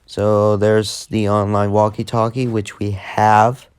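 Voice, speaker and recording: A man giving a casual, unscripted tech demo in a halting, repetitive way that tends to trail off. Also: on the low side, level tone, clear, resonant tone. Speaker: video host demonstrating a walkie-talkie app.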